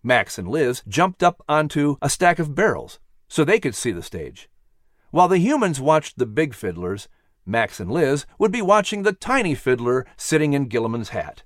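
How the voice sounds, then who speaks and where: A man narrating a story in an animated, dramatic, expressive style. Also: medium pitch, moderate pace, dry recording, studio quality. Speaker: audiobook narrator.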